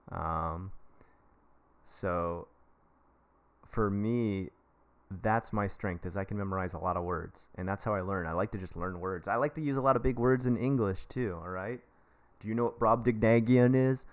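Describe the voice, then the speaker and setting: Chatty American man with a low voice. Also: normal speed, clean tone. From United States. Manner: rambling, jokey, chummy, addresses viewers directly. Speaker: livestream advice host; English teacher. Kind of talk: casual live-stream advice chat.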